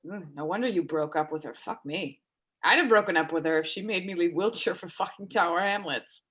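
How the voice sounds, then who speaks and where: A woman acting a blunt, nervously polite character in a naturalistic stage reading, with a halting, dry, tactless way of talking. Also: lowish voice, a bit bright, husky. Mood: dry, teasing. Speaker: amateur or local theatre actor.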